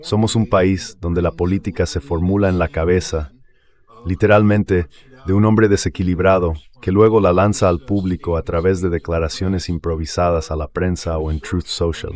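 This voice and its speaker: A man's voice: low voice, flat delivery, moderate pace, darkish tone. A man reading a dubbed political and military commentary in an emphatic, explanatory way, in short declarative sentences. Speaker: translated voice-over of an interview guest.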